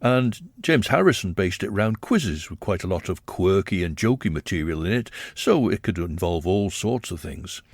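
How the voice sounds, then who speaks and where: A man narrating a scripted radio documentary, measured and explanatory. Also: low voice, animated, a little hiss or hum.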